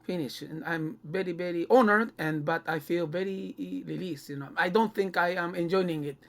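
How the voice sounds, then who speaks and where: A man with a Japanese accent co-hosts a podcast, speaking conversationally in halting non-native English. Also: high-pitched, gravelly, fairly bright tone. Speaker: podcast co-host and filmmaker.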